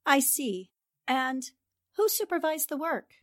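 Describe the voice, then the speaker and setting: A determined lady reporter in a 1940s radio mystery. Her voice is fairly high and very animated, with a probing, inquisitive manner. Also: slow pace, exaggerated voice. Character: inquisitive, determined female newspaper reporter investigating a crime. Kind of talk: 1940s radio drama acting.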